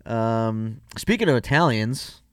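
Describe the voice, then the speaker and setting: A man's voice: medium pitch, expressive, slow, smooth, no echo, studio quality. A young man co-hosting a podcast, reading listener questions and joking around in loose, profane chat. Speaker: podcast co-host.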